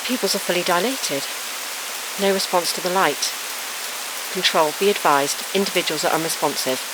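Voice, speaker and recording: An actress playing a frightened police constable reporting on a bodycam, halting and stammering, with heavy rain noise behind her. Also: on the low side, close to an everyday voice. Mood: tense. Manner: halting, shaken, broken restarts. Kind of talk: found-footage audio drama.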